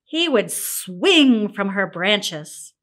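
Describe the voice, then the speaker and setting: A woman narrating a children's picture book in an expressive, deliberate way. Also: mid-range voice. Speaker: storybook reader. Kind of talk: scripted children's story narration.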